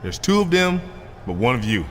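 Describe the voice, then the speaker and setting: An actor playing a confident, ambitious wrestler in a video game cutscene, casual, assertive and cocky. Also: male voice, medium pitch, sing-song, moderate pace, lightly acted. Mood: confident, persuasive. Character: confident, ambitious male wrestler proposing an alliance. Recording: clearly noisy background ambience.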